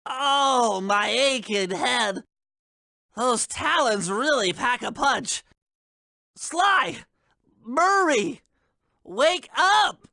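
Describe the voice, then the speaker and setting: A small, earnest turtle boffin in a video game. The voice is high, pinched and piercingly bright, with fussy, bookish, precise phrasing. It sounds close and dry. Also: male voice, expressive, drawn-out words, gravelly, pauses often, dry recording, full character voice. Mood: pained, urgent. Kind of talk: video game character voice acting.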